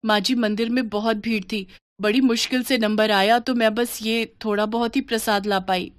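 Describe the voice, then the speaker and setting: A woman's voice: on the high side, steady tone, moderate pace, no echo, clean studio sound, lightly acted. A woman voicing a kind, humble village mother in a moral story. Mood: kind, pleading.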